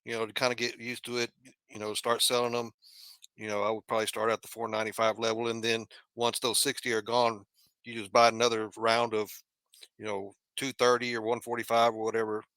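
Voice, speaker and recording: A man's voice: lowish voice, completely flat delivery, brisk, a bit bright, clean tone, no room sound, poor audio. A man from Texas calling in to a conference call, asking questions politely with hesitant phrasing.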